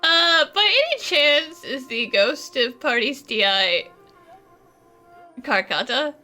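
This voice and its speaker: A woman's voice: high voice, sing-song, takes it slowly, bright, forceful tone, faint music behind, full character voice. A woman voicing a playful, caring young female companion in a casual style. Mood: amused, playful.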